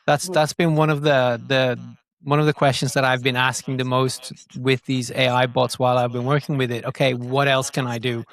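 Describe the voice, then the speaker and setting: A man's voice: mid-range voice, flat delivery, moderate pace, fairly bright tone. A man explaining things in casual interview talk, repeating words as he thinks them through. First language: Norwegian is not native; speaks it brokenly. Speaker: digital growth marketer, podcast guest.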